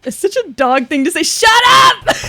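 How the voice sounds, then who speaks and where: A woman's voice: extremely high pitch, sing-song, unhurried, pushed and bright, a little hiss or hum. A woman in her twenties chatting casually on a game stream, laughing easily, swearing, and muttering to herself as she works things out. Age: 20s. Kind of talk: casual gaming stream chat.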